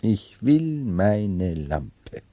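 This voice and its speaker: Brooding mad-emperor type, low voice, theatrical n mercurial, old radio drama, really dry sound. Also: male voice, very animated, very slow, mellow, no echo, full character voice. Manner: theatrical, mercurial, dwelling on words then bursting out. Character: brooding nobleman feigning madness as a medieval emperor. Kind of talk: 1970s German radio play acting.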